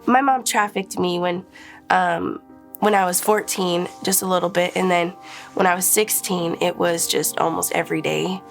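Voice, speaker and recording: A young woman from Idaho tells her story in a hesitant, halting way, with a fair amount of vocal fry. Her voice sits a little low, and faint music plays behind her. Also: steady tone. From Idaho, USA. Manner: hesitant, halting, many fillers. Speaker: interviewee. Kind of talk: personal testimony.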